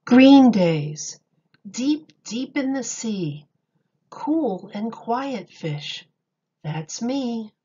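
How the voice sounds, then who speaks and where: Slow-paced woman reading to young children. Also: a little high, lively intonation, dark tone, breathy edge, muffled recording. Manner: slow, deliberate, dramatic emphasis on key words. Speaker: teacher reading a picture book aloud. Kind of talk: expressive children's read-aloud.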